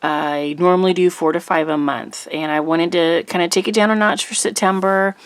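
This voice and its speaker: Chatty woman with a slightly rough voice. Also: fairly low pitch, steady tone, normal speed, no echo. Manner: chatty, rambling, frequent ums and little laughs. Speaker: crafting channel host. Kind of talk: casual chat to camera.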